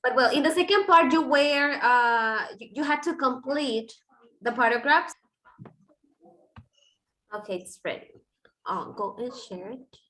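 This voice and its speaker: A woman's voice: high-pitched, lively intonation, a little slow, pauses often, a bit bright, low-quality recording. A woman who is a native Spanish speaker, teaching English online and calling on students in an informal, self-correcting way. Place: online video class.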